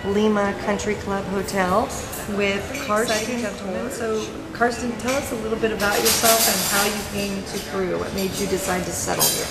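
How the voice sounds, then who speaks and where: A woman's voice: mid-range voice, fairly even intonation, brisk, hardly any pauses, over loud music. A woman interviewer with an upbeat, cheerful manner introduces her guest and asks him questions. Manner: bright, upbeat, laughs often. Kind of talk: interview host. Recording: echoey with loud crowd behind. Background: crowd chatter.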